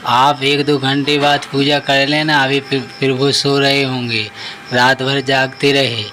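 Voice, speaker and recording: A man acts out an innocent village devotee in a devotional cartoon. The voice is bright and forceful but stays on an even pitch. He pleads with guileless devotion, over faint background noise. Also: a little slow. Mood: earnest insisting. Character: Bholu, simple, earnest, innocent male devotee of God. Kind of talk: Hindi devotional cartoon story acting.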